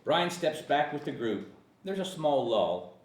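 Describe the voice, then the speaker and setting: A man's voice: fairly high pitch, sing-song, measured pace, far from the mic. A man narrating stage directions and character descriptions at a screenplay table read. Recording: echoey room, off-mic, faint hiss.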